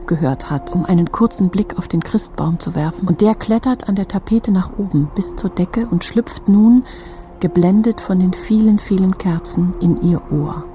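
A woman narrating a literary audio drama expressively, often repeating phrases and turning breathy at moments. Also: fairly low pitch, moderate pace, dark tone.

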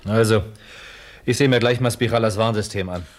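Actor as a low-voiced, reasoning police detective. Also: male voice, a little fast, natural voice. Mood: deductive. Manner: matter-of-fact, deductive. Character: male police detective, reasoning, informal colleague. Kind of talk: German crime radio play acting.

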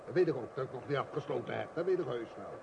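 An actor playing a middle-aged, henpecked shopkeeper in a radio play, querulous, blustering and full of self-pity. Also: male voice, mid-range voice, expressive, rapid-fire, vocal fry, muffled recording. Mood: grumbling. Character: grumbling, self-pitying small shopkeeper, henpecked husband and father. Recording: noisy old radio play recording.

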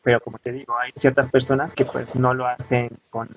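Casting: young Mexican man, podcast guest, explanatory and conversational, frequent tag questions, average pitch. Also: level tone, brisk, breathy edge, low-quality recording. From coastal Oaxaca, southern Mexico. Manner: explanatory, often ending phrases with a questioning tag. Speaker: podcast guest, student and weaver. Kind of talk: conversational interview answers.

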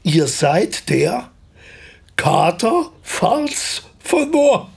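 Older theatre man, very raspy and creaky, theatrical, genial radio-drama raconteur. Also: sits high, very animated, very slow, exaggerated voice. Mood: jovial, reminiscing. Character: older former stage actor, genial theatrical anecdote-teller. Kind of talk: naturalistic radio drama dialogue.

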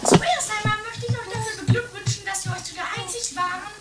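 Shrill, dramatic guru wife. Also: male voice, steady tone, brisk, music in the background. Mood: pompous. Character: dramatic, evasive, pompous wife and new great guru. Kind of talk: improvised trashy comedy radio play. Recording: noisy 1980s homemade tape, sometimes distant.

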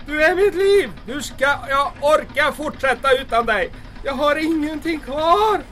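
A man's voice: extremely high pitch, lively intonation, fairly bright tone, slight background noise. A man playing a stubborn, bitter old fisherman in an audio drama, speaking in an emotional, plaintive way. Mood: anguished.

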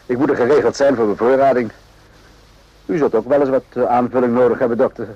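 An actor playing a composed, probing army captain in a radio drama, speaking in a measured, understated way with hesitant pauses. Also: male voice, mid-range voice, fairly even intonation, dark tone, slightly rough, no echo, natural voice. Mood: friendly, inviting. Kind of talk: radio drama acting in Dutch. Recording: dull, muffled old radio recording.

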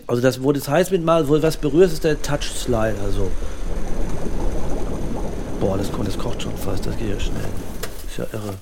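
Actor as creaky-voiced kitchen show-off. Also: male voice, mid-range voice, steady tone, normal speed, long pauses, natural voice. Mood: impressed. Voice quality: creaky, gravelly. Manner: chatty, colloquial, explaining. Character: gadget-proud, easily impressed man showing off his kitchen. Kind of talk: improvised radio comedy drama, casual dialogue. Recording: noisy kitchen with clatter. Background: clicks, kitchen sounds.

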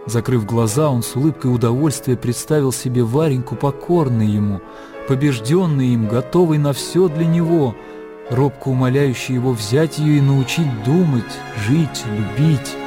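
A man narrating a literary radio drama in a measured storytelling manner. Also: medium pitch, music in the background.

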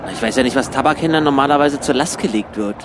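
Quick-talking dry man. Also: medium pitch, noisy background. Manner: dry, sceptical. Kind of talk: improvised radio comedy chat.

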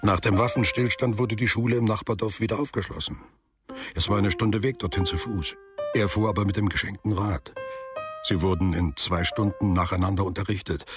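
An actor playing a reflective narrator who tells a literary radio drama in a measured, precise, deliberate way. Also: male voice, on the low side, fairly even intonation, music in the background, close to an everyday voice. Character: reflective male author-narrator recounting a boy's postwar memories.

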